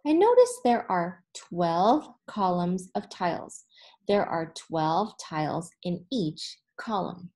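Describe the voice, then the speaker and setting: Slow-paced woman teacher reading aloud. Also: fairly low pitch, big pitch swings, warm tone. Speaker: elementary school teacher. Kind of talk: read-aloud storytelling to children. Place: small indoor room with whiteboard.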